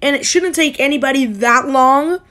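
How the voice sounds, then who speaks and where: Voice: high-pitched, moderate pace, sharp tone. A school-age youngster from the United States hosting a hobby channel, giving a casual, rambling voice-over that often corrects itself.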